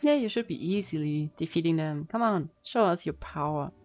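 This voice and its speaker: A woman's voice: deep voice, fairly quick, darkish tone. A woman streaming a game with casual, playful, teasing live reaction commentary. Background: game audio and music.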